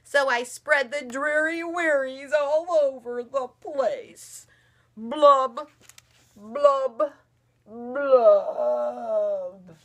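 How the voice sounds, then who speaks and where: A woman voicing a gloomy male fish who pouts, in a slow, drawn-out, mournful sing-song. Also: high-pitched, a bit bright, voice pushed up, heavily acted. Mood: gloomy chant.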